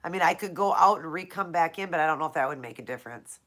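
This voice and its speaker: A woman's voice: low-pitched, animated, quick pace, fairly bright tone. A woman from Minnesota hosting a casual live Q&A, chatting with viewers by name, her nose stuffed up from allergies. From Minnesota, USA. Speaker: live-stream host.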